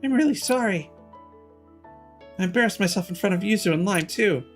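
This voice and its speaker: Man putting on high, earnest anime schoolgirl. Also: animated, a little fast. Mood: flustered, apologetic. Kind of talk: reading visual novel game dialogue aloud. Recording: music behind the voice.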